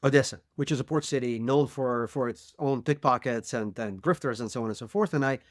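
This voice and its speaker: A man co-hosts a podcast, winding through a personal story with self-amused asides. His voice sits at a middle pitch and sounds dry and close, with no room around it. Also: brisk, no room sound. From Ukraine. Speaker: podcast co-host. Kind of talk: casual interview, personal story.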